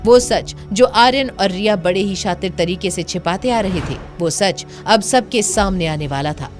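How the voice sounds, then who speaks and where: Female narrator, mid-range voice, dramatic scripted storytelling for an audio series, gentle music bed. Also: mid-range voice, moderate pace.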